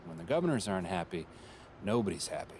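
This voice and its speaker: A polished marketing executive who lives by data and speaks in tidy corporate phrasing. His voice sits on the lower side. He is a figure from a game cutscene. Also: very animated, fast, a little mellow, slight background noise. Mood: businesslike. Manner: smooth, data-driven corporate talk. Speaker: head of brand and marketing. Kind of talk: video game cutscene dialogue.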